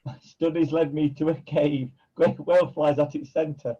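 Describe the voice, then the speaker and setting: An actor playing a scheming pantomime villain who poses as a kindly uncle, speaking in theatrical, wheedling rhyme. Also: male voice, a little high, fairly even intonation, unhurried, mellow, dull audio. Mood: persuasive, dramatic. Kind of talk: rhyming pantomime acting.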